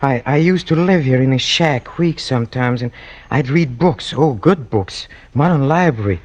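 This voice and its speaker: An actor playing a lonely, unhinged killer in a vintage radio thriller, speaking haltingly and obsessively, repeating himself. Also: male voice, medium pitch, expressive, lightly acted. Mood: rambling, intense. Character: lonely, unhinged young male killer, brooding and self-pitying. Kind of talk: 1940s-50s radio thriller acting. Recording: dull vintage radio recording.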